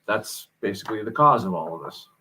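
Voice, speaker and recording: A man who is a guest on a talk show, explaining things thoughtfully with many pauses and fillers. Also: lowish voice, expressive, sharp tone, slightly rough. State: mild headache. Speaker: guest commentator. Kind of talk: casual discussion.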